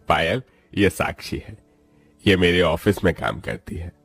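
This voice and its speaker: A man's voice: low-pitched, slow, a bit bright, dry recording, faint music behind, full character voice. A man playing a busy, trusting rich young businessman in a moral story, earnest and dramatic. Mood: friendly.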